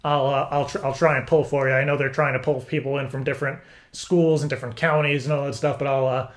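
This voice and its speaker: A man with a medium-pitched voice talks through a casual interview-style call. He rambles, stammering and halting as he works toward each point. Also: level tone. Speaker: prank caller. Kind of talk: casual phone conversation posing as interviewer.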